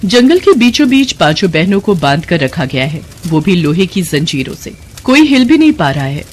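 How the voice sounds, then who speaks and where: A woman's voice: lowish voice, big pitch swings, moderate pace. A woman narrating a scripted Hindi moral story as its storyteller.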